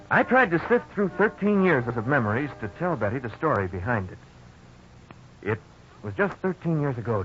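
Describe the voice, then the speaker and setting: A man's voice: mid-range voice, lightly acted. An actor playing an earnest, boyish husband in his twenties in a 1950s radio drama. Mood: somber, reminiscing. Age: 20s. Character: upbeat young husband and father, advertising salesman. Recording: muffled, noisy 1950s radio recording.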